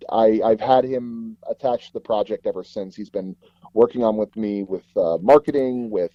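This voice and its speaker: Animated man storytelling, dull lo-fi sound. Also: fairly low pitch, normal speed, no room sound. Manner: animated, acts out dialogue in anecdotes. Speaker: guest, voice actor and audio drama producer. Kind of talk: casual interview storytelling.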